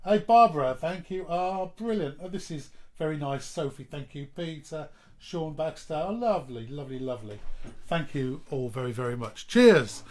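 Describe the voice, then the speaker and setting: A man singing his own song live with an acoustic guitar, a little croaky from hay fever, then thanking listeners in rambling chat. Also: on the high side, normal speed, a little mellow. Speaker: singer-songwriter. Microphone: home livestream microphone.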